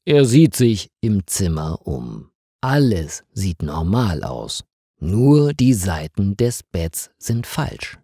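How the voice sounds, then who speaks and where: Guy reading a story for learners, slow n careful, mellow slightly husky voice, really lively intonation. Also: low-pitched, dry recording, studio quality. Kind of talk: scripted story narration, clear and simple for learners.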